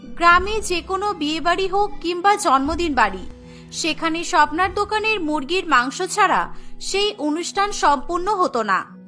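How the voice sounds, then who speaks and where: A woman's voice: extremely high pitch, expressive, moderate pace, bright, forceful tone, faint music behind. A woman narrating a scripted cartoon story.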